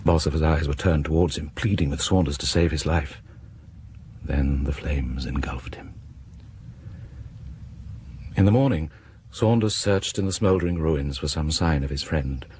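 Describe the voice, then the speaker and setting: An actor playing a grave, sinister British narrator of radio horror tales, deliberate and dropping into whispers. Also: male voice, very deep voice, darkish tone. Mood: ominous. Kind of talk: dramatic scripted horror narration. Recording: faintly noisy vintage radio broadcast.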